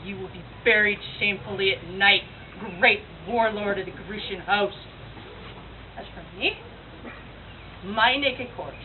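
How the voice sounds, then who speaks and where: A woman's voice: mid-range voice, natural voice. A woman performing a scornful, doomed young prophetess in a declamatory stage monologue from Greek tragedy. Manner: declamatory, theatrical. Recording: tinny, noisy far-off event recording.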